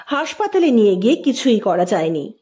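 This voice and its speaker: A woman's voice: a little high, very animated, a little fast, dark tone, muffled recording. A woman narrating an audio story as its host, in a scripted, dramatic storytelling style.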